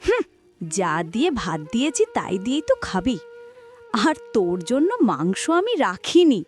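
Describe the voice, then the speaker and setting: A woman voicing a cruel, scolding village stepmother in a cartoon story, with a spiteful, sharp-tongued manner. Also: fairly high pitch, wildly swooping pitch, normal speed, soft background music.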